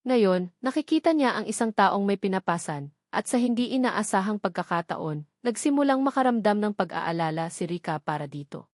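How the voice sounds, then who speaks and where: A woman's voice: medium pitch, animated, moderate pace, darkish tone, no echo, studio quality. A woman reading a scripted romantic drama as a story narrator.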